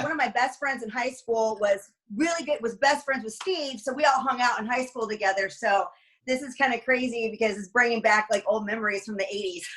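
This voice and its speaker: Co-host, woman, 50s, bubbly and quick to laugh, high voice, video call. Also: a bit bright, rough recording. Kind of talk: casual video call chat. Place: home room with bookshelves.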